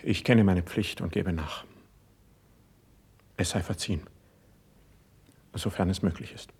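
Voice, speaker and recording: A sensitive, proud court poet in a classical radio drama. His low, husky voice delivers verse with formal, measured care. He sounds wounded and stubborn beneath the courtly phrasing. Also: darkish tone, heavily acted. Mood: wounded, reserved. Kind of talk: classical German stage verse in a radio play.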